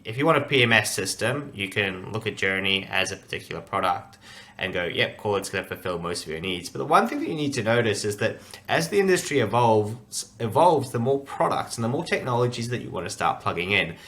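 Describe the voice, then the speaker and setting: A man's voice: fairly low pitch, sing-song, fairly quick, sharp tone. Man, podcast host, upbeat, chatty, lots of fillers, remote call. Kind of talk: casual interview chat.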